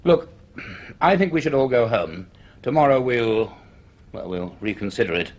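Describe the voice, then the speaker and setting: Husky, measured professor. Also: male voice, mid-range voice, very animated, a little mellow, highs cut off. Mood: brisk, decisive. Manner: measured, authoritative, formal. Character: grave, curious male university professor. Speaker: actor and narrator in a radio drama. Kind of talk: radio drama acting and first-person narration.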